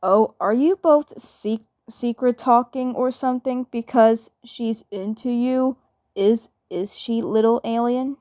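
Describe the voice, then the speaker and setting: A woman voicing a teasing, laid-back teenage brother in a fan dub, halting and repeating phrases. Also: a little high, warm tone. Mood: suspicious, probing.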